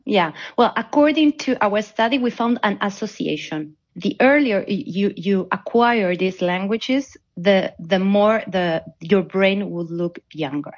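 A woman's voice: medium pitch, unhurried, few pauses. A woman researcher, not a native English speaker, explaining her work in an interview and often repeating words as she goes. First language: non-native English speaker. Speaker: academic researcher being interviewed. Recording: dull, band-limited audio.

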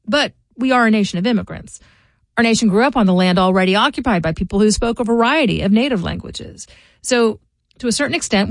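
A middle-aged woman hosting a local news podcast, reading scripted commentary in a measured, informative way. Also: mid-range voice, vocal fry, no echo. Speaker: podcast host.

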